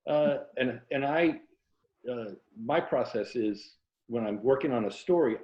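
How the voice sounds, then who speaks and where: A mature American man talking casually and reflectively as a writer being interviewed. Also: mid-range voice. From Tampa, Florida, United States. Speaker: interview guest, writer and former journalist. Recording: roomy echo, dull muffled sound.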